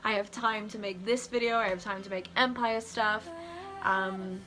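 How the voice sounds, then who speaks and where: A woman's voice: mid-range voice, steady tone, normal speed. A young woman vlogging casually from her living room, talking in an informal, candid, rambling way. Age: young adult. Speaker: student vlogger. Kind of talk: casual vlog chat to camera. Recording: music playing behind. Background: music.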